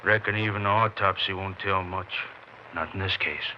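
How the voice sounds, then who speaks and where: A man's voice: low-pitched, steady tone, moderate pace, lightly acted. A man playing a terse, folksy, drawling country sheriff in a western radio drama. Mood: grim, laconic. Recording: muffled old radio recording, faint noise.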